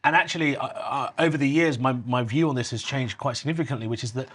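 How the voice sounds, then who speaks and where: Husky-voiced man hosting a chat. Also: mid-range voice, fast talker, fairly bright tone. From England. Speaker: host. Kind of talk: casual chat over a meal.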